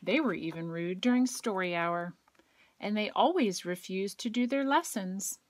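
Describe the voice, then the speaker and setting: A woman with a gentle, expressive storytelling manner, reading a children's picture book aloud. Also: mid-range voice, normal speed, slight background noise. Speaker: educator and child care provider reading aloud.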